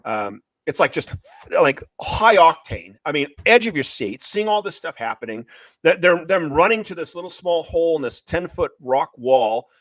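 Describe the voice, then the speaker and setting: A man talking as an interviewed author and screenwriter, retelling a story in an excitable, emphatic, repetitive way. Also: on the high side, moderate pace, dry recording. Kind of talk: animated promotional interview talk, retelling a story.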